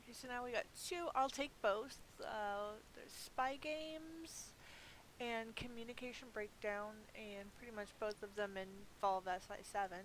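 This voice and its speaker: High-voiced woman chatting over gameplay, hissy recording. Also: unhurried, rough recording. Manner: chatty, playful, thinking aloud. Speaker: gaming video host. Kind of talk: casual gameplay commentary. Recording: hissy recording with background noise.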